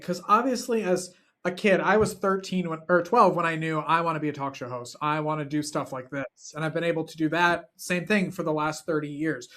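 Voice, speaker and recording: High-voiced male talk show interviewer. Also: fairly bright tone. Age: 40s. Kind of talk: conversational interview.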